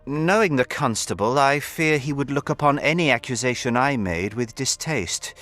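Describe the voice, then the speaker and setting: A scheming aristocratic count, acted by a man, medium in pitch with lively swoops. His speech is formal, measured and insinuating, set over faint game music. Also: natural voice. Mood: feigned concern. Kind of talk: video game voice acting.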